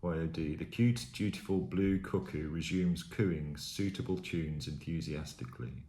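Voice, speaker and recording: A man in his fifties from Staffordshire with a mild Birmingham accent, answering interview questions in a thoughtful, measured way with pauses. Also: extremely low pitch, mellow, slight background noise. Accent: mild Birmingham (Brummie). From Staffordshire, England. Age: 50s. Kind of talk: reading word lists and answering interview questions. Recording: roomy echo.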